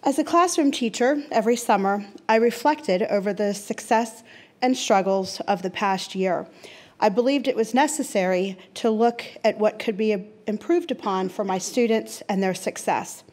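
A woman's voice: mid-range voice, a little mellow. Earnest woman reading a statement. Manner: earnest, measured, emphatic lists. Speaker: teacher giving public comment. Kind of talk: reading a prepared statement at a podium. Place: large school board meeting room. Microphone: seated at a desk mic.

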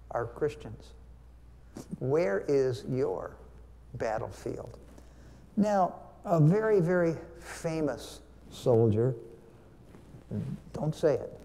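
A man's voice: on the high side, vocal fry, darkish tone. An older man giving a conversational, teacherly church talk, asking his congregation questions as he goes. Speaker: church speaker, veteran. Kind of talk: Veterans Day sermon talk with questions to the congregation. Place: large church sanctuary, standing before pulpit. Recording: long hall reverb.

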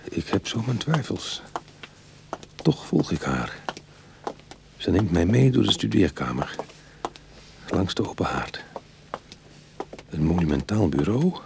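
A man playing a streetwise reformed burglar who tells his own uneasy story in a radio drama, husky and confiding. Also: sits low, sing-song, slow, long pauses, background noise, exaggerated voice. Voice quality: husky, breathy. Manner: hushed confiding narration, exclamatory in dialogue.

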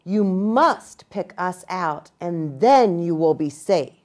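A woman's voice: fairly low pitch, animated, slow. A woman voicing a young buffalo calf boy in a storybook, earnest and urgent as he instructs. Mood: earnest warning.

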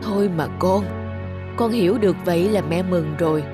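A woman's voice: on the low side, moderate pace, music in the background, lightly acted. A woman playing a patient, self-sacrificing middle-aged village mother in a cartoon. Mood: tender, consoling. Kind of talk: cartoon voice acting.

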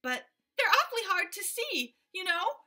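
Lady doing tiny chattery monkeys, way up high, bright and eager. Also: full character voice. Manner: high, quick, excited. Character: chattering little female monkeys.

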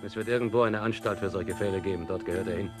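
An actor playing a brisk, self-interested expedition investor in a radio play. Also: male voice, deep voice, steady tone, over loud music, close to an everyday voice. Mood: brisk, insistent. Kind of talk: radio drama acting.

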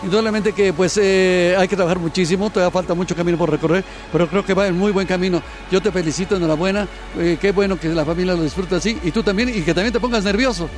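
High-voiced excitable Mexican TV host. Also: male voice, fast talker, few pauses. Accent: Mexican Spanish. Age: middle-aged. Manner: enthusiastic, exclamatory. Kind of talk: TV sports interview. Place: outdoors by a fountain. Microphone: handheld mic. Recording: music bed under voices.

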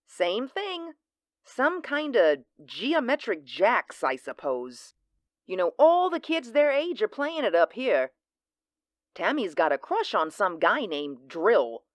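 A chatty, casual housewife, played by a woman in a radio drama. Her mid-pitched voice is lively and easygoing, recorded close and dry. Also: slow, no echo. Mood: gossipy. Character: chatty suburban housewife, an old friend, easygoing. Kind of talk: audio drama acting.

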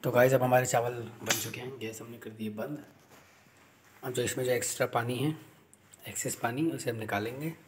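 A man's voice: mid-range voice, fairly even intonation, normal speed, very noisy. A man casually talking viewers through cooking in a small home kitchen, explaining each step as he goes. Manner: chatty, explains steps to viewers as he cooks. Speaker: vlogger.

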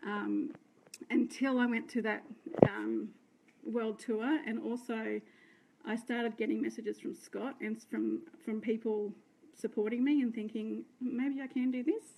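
Australian woman in her 30s, fairly high voice, a touch croaky, chatty live-video storyteller on a phone. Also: a little slow, dark tone, slight background noise, poor audio. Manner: chatty, rambling, self-deprecating, greets viewers. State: slightly croaky. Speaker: direct sales team leader. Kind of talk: unscripted live video talk. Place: indoor room by curtains. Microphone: phone held close, front camera.

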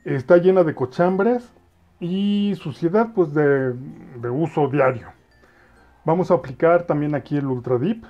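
A man's voice: fairly high pitch, a little slow, dull audio. A man with a Mexican accent casually demonstrating a cleaning product in a kitchen, explaining to viewers as he works, recorded on a phone. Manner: relaxed, explanatory, addresses viewers directly. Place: kitchen, indoors. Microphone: phone camera.

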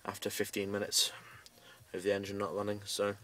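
A British man with a fairly low voice talks casually in a parked car. His delivery is very flat and rambles along in a matter-of-fact way. The microphone sits a little away from him. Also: brisk, recorded from a distance. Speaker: amateur radio hobbyist making a video update. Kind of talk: casual vlog update.

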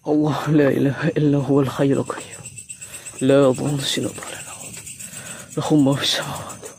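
A man's voice: mid-range voice, flat delivery, normal speed, a little mellow. An Indonesian man with a cold, speaking as a night-time vlogger and reciting prayers outdoors. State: has a cold and flu, feeling weak. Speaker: ghost-hunting vlogger, live streamer. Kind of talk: casual vlog talk with murmured prayers. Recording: outdoor night insect noise. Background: crickets and insects.

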